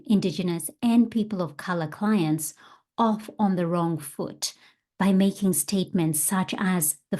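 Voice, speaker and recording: A woman's voice: lowish voice, a little mellow. A woman hosting a podcast as a coach, presenting to her listeners.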